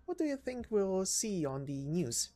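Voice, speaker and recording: A chatty young woman knight from a game story, acted by a woman, informal and kind-hearted. Her pitch swoops about as she talks, with music underneath. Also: sing-song, unhurried, mellow. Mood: concerned, brisk.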